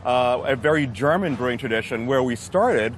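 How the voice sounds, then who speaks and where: A man's voice: mid-range voice, lively intonation, normal speed, slight background noise. An American man, a brewmaster, talking casually and laughing easily in an outdoor chat. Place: outdoors in a city street.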